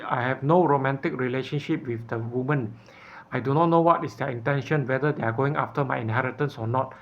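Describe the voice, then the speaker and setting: Halting man, dull lo-fi sound. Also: mid-range voice. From Malaysia. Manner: halting, earnest, searching for words. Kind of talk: personal video appeal, unscripted monologue.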